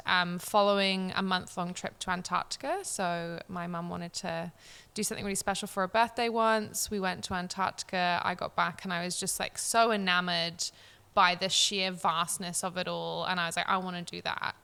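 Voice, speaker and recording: A woman's voice: fairly low pitch, little pitch movement, normal speed, gravelly, bright, forceful tone, clear, resonant tone. A woman in her thirties, born in New Zealand and raised in Samoa and Cornwall, chatting as a podcast guest, enthusiastic and full of "um" and "like". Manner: chatty, enthusiastic, many fillers like "um" and "like". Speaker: podcast guest, head of marketing. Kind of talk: casual interview chat. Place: coworking space.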